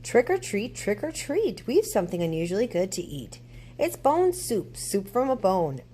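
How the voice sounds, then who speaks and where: A woman's voice: medium pitch, very animated, moderate pace, mellow, breathy edge, pitched above the performer's own voice. A gleeful old storybook witch, played by a woman reading aloud. She chants and cackles theatrically, lifting well up and swooping widely through her lines. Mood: chanting, coaxing. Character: gleeful old witch cooking bone soup.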